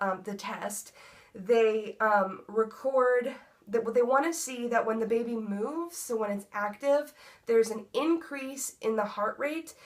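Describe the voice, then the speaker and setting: A young woman vlogging a casual update, her voice congested from a cold, chatty with many ums and likes. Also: fairly high pitch. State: congested, stuffy nose. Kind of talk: casual pregnancy update vlog.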